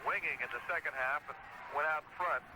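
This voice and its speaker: A man's voice: fairly high pitch, fairly quick. An American man calling a live football game on radio in clipped, present-tense play-by-play phrases. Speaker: radio play-by-play sports announcer. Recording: very noisy old 1970s radio broadcast.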